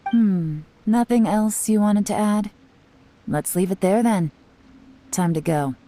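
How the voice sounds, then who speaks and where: A woman's voice: medium pitch, slow, long pauses, dark tone, a little hiss or hum, natural voice. An actress playing a composed, teasing female agent who confronts a suspect coolly. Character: cool, sly female secret agent. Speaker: game character.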